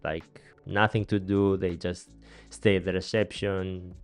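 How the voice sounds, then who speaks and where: A man in his thirties with a Greek accent hosts a relaxed podcast chat in a small studio, talking into a boom mic. His voice sits lowish and comes across smooth and resonant. He is chatty and laughs easily, with faint music underneath. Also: measured pace. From Greece. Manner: chatty, laughs often, non-native grammar. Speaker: podcast host. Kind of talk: casual interview chat. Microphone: studio mic on boom arm, headphones.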